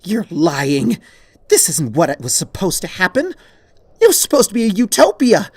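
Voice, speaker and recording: Raised high pitch, loud, indignant unicorn mare, man performing, strained, fantasy storybook character. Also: wildly swooping pitch, normal speed, slightly rough, dry recording, full character voice. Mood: furious, disbelieving. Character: furious young idealistic unicorn mare, shouting in disbelief.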